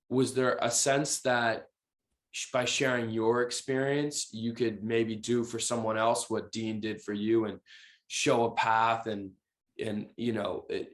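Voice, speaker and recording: A man hosting a podcast, asking a thoughtful follow-up question in a supportive way. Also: fairly low pitch. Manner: supportive, brief backchannels. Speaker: podcast host. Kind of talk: casual interview.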